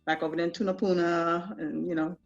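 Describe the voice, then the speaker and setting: A woman's voice: fairly low pitch, level tone, a little fast, gravelly, lo-fi sound, faint music behind. A middle-aged woman from Trinidad and Tobago with a Trinidadian accent, speaking casually as an interview guest and often saying "you know". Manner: easygoing, conversational, frequent "you know". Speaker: festival founder, guest.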